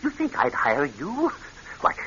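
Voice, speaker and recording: A pompous, scornful businessman with a sharp, forceful voice that swings widely in pitch. He is dismissive and menacing in old radio crime drama manner, on a lo-fi recording. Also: wildly swooping pitch, measured pace, slight background noise, full character voice. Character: haughty male bank executive, scornful and threatening.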